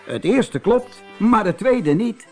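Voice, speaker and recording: Man putting on a high-pitched, cheerful little gnome. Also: animated, slow, dark tone, faint music behind, exaggerated voice. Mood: playful. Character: cheerful little old-world mountain gnome, small man.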